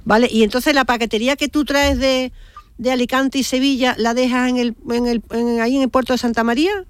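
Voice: medium pitch, little pitch movement, brisk, clean tone, no echo. A man hosting a live radio talk show, chatting casually and reacting briefly to others. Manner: chatty, interjects with short reactions. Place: radio studio.

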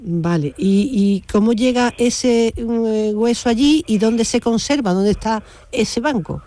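Mellow-voiced woman radio host. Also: on the high side, unhurried. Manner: inquisitive, informal, hesitant phrasing. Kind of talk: casual live phone interview.

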